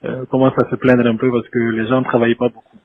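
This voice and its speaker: Halting man on a tinny phone line. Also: medium pitch, little pitch movement, no echo. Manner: hesitant, halting, deferential. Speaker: former government official briefing by phone. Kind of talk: informal phone conversation. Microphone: telephone.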